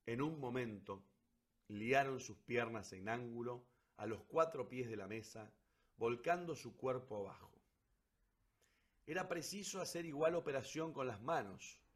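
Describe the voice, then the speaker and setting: A man narrating a literary story for radio theatre in a solemn, deliberate, dramatic way. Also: medium pitch, animated.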